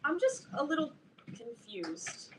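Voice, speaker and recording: A woman playing an earnest, awkward teenage fangirl in a staged reading of a comedy, speaking haltingly with lots of filler "like". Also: high voice, very animated, background noise. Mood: uneasy, hurt. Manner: hesitant, halting, peppered with "like". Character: earnest, awkward teenage girl, obsessive TV-show fan.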